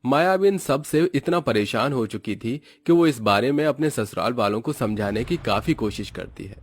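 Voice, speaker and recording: Indian guy narrating a cartoon story, mid pitch, totally dry recording. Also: lively intonation, normal speed, no room sound.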